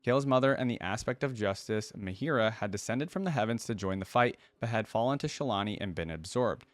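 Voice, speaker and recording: A man's voice: lowish voice, lively intonation, brisk, dry recording. A man hosting a reaction video, reading text aloud and commenting on it in a casual, informal way. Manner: informal, addresses viewers as guys and dude.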